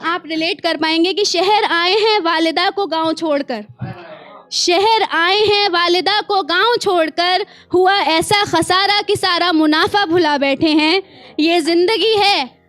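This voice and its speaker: High-pitched Indian woman reciting poetry. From small town in India. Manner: expressive, deliberate, repeats lines and refrains for emphasis. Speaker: poet performing her own work. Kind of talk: live spoken-word poetry recital with asides to the audience.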